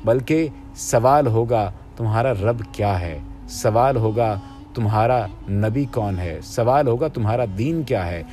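A man reading scripted religious narration in a measured, formal, preaching manner. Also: fairly low pitch, dark tone, faint music behind. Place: voice-over over stock footage with music.

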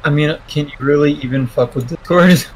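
A man's voice: on the high side, fairly quick, poor audio. Man chatting casually over background noise. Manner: swears freely. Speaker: game streamer. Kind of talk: casual chat.

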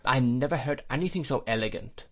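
Guy doing a snooty sarcastic spinster, slow and cold, mid pitch. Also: a bit bright, close to an everyday voice. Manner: slow, sardonic and haughty. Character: icy, sarcastic middle-aged spinster. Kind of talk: audiobook character reading.

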